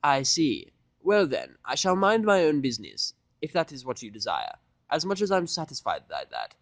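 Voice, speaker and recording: Brief: male reader as genteel, fussy female pony designer, raised pitch, affected drawn-out delivery, gracious cartoon manner. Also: wildly swooping pitch, vocal fry, no room sound, exaggerated voice. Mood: clipped, displeased.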